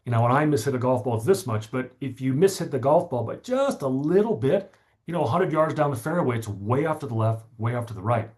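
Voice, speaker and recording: A man's voice: mid-range voice, lively intonation, a little fast, darkish tone. A middle-aged man speaking as an interview guest, explaining his story articulately and stressing points by repetition. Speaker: podcast guest, author.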